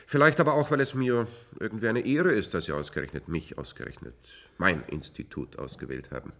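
An actor playing a smug, sardonic clinic chief in a radio play, pompous, mocking and condescending. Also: male voice, low voice, fairly quick, a bit bright. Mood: patronizing. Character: smug, worldly, sardonic male private-clinic chief doctor. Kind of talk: German science-fiction radio play acting.

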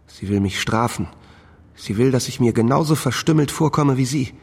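An actor playing a bitter, obsessive man in a radio crime drama, speaking intensely with a husky voice. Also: medium pitch, moderate pace. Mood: wounded, bitter. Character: bitter, obsessive man jealously claiming a lost limb.

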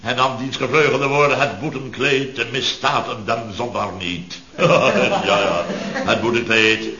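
An actor playing a pompous, exasperated, self-important boss of a building firm in an old radio comedy. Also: male voice, medium pitch, steady tone, a little slow, crowd noise, lightly acted. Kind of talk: 1970s Dutch radio comedy acting. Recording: muffled, echoey old radio recording with audience.

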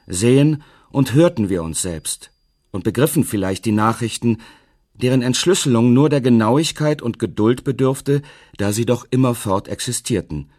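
An actor playing an earnest, lofty neurologist-philosopher, reading a treatise with solemn rhetorical emphasis. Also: male voice, medium pitch, darkish tone, dry recording, clean studio sound, natural voice. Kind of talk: dramatic reading of a scholarly treatise.

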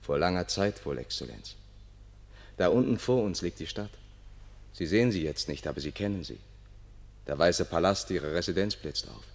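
An actor playing a solemn, deferential guide to a ruler in a German radio play, speaking in a measured, formal way. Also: male voice, sits low, lively intonation. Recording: radio play with long reverb.

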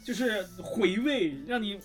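A woman's voice: mid-range voice, a little slow. A woman voice actor chatting casually as a guest, with a theatrical, jokey way of telling things. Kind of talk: casual group chat. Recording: music playing behind the voice. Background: music.

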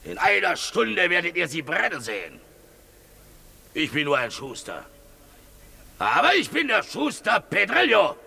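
A fanatical shoemaker in a 1950s radio drama, self-righteous and pompous. His voice is piercingly sharp and high, with slow, swooping oratory. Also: vocal fry, husky, full character voice. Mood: proud, menacing. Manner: pompous, oratorical, drawn-out emphatic phrasing.

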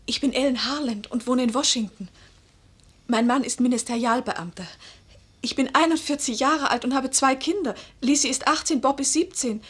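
An actress playing a bewildered, introspective woman of forty-one, narrating and speaking in a German radio play with a hushed, breathy, searching delivery. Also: high-pitched, moderate pace, no echo. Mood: agitated, protesting.

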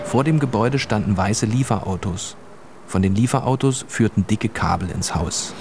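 A man's voice: fairly low pitch, normal speed, noisy background, natural voice. A man narrating a children's radio play as a plain storyteller, reading a scripted tale.

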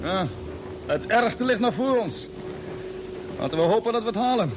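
An actor playing a calm, authoritative space expedition captain in an old radio drama, giving measured orders. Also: male voice. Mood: tense, determined. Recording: noisy old radio drama, vehicle rumble.

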